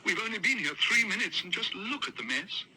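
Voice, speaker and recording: A man voicing a gentle, worried middle-aged mother, speaking in soft, anxious tones. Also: high-pitched, big pitch swings, a little fast, slight background noise, voice pushed up, exaggerated voice, low-quality recording. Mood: dismayed. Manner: softly anxious.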